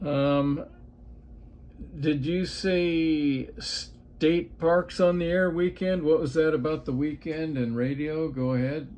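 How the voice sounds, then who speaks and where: A man in his fifties with a grandchild, chatting in a relaxed, friendly way on a ham radio call. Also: a little high, slow pace, a little hiss or hum. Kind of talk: casual radio chat.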